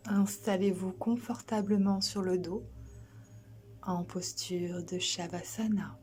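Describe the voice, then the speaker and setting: A woman guiding a sleep relaxation as a yoga instructor, soothing and gentle, soft and breathy, often near a whisper, with long pauses. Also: fairly low pitch, fairly even intonation.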